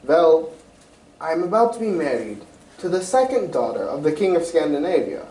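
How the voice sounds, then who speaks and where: An amateur actor, a man, playing an anxious, proud European king in a radio drama style, formal, grave and halting. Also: on the high side, very animated, dark tone. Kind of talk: 1940s-style radio mystery acting. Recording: roomy, distant stage recording with faint noise.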